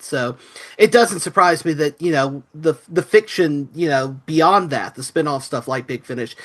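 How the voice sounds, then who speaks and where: A man's voice: medium pitch, fairly bright tone. A man giving casual, analytical commentary as a podcast panelist, with a wry and opinionated manner.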